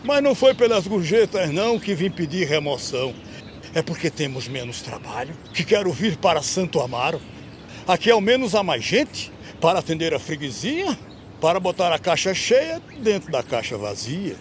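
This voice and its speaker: An actor playing a weary, complaining veteran gravedigger in an animated film, speaking plaintive rhythmic verse. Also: male voice, fairly high pitch, moderate pace, slight background noise. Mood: resigned.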